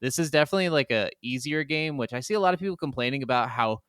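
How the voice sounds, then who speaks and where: A man's voice: medium pitch, rapid-fire, fairly bright tone, smooth, no echo, clean studio sound. A man co-hosting a podcast, talking about games in a casual, informal and enthusiastic way. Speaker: podcast co-host. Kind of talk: casual gaming chat.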